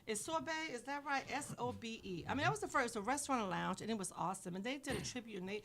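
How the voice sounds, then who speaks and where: A woman from Belize hosting a live talk show, chatty and quick to laugh. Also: a little high, expressive, fairly bright tone. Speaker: host. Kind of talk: casual chat.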